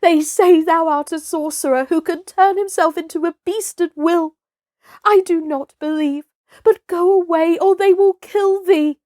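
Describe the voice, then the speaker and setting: A woman's voice: very high-pitched, steady tone, measured pace, dark tone, no echo, clean studio sound, pitched above the performer's own voice. A woman voicing an anxious, loving village mother who cries out and pleads in a storybook tale. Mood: anguished, pleading. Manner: pleading, crying out.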